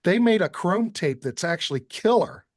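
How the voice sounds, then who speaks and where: A man's voice: high-pitched, animated, normal speed, no echo, clean studio sound. A man hosting a casual music chat, rambling a little and thinking aloud. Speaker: interview host.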